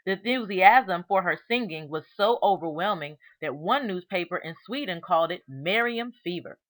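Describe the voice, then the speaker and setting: A woman reads a children's storybook aloud as narrator, with measured, expressive storytelling and deliberate phrasing. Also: fairly low pitch, rough recording. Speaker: reader of a children's book aloud.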